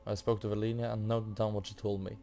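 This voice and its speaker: A man's voice: fairly low pitch, level tone, fast, mellow. A man giving casual commentary as he plays through a game, hesitantly thinking aloud. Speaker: gamer narrating a quest playthrough.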